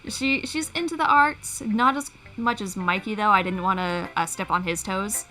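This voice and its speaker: A woman's voice: medium pitch, moderate pace, sharp tone, faint music behind, poor audio. A woman chatting casually on a livestream, giggly and amused at herself. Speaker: livestream panel guest, fan story creator. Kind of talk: casual chat.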